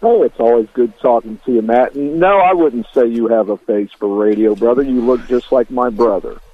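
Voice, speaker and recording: A man's voice: medium pitch, moderate pace. A man calling in to a radio show, talking in a friendly, chatty way. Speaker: radio caller. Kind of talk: casual call-in chat. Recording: tinny phone line.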